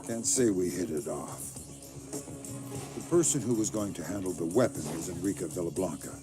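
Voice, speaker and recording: An actor playing a hardened, grieving middle-aged undercover spy who speaks in a terse, guarded way in a game cutscene. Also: male voice, sits low, expressive, normal speed, music in the background. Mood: grim recounting. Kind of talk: game cutscene dialogue and narration.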